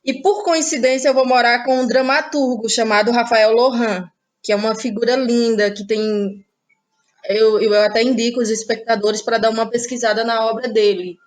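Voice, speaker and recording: A woman's voice: fairly high pitch, steady tone, moderate pace. A woman from Brazil, reflectively telling about her own work as an interview guest. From Ceará, Brazil. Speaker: interviewed playwright and actress. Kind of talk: conversational personal account.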